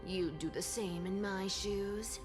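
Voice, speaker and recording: A woman's voice: mid-range voice, fairly even intonation, slow pace, over music, lightly acted. A woman voicing a mischievous young female trickster demigod in an anime-style game, with a sly, playful, breezy manner. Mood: coaxing.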